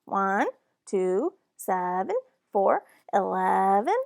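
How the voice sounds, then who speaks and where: A woman voicing an excitable toddler boy in a storybook, with babyish exclaiming speech. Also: mid-range voice, drawn-out words, vocal fry, heavily acted. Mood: excited. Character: excitable little toddler boy jumping and miscounting.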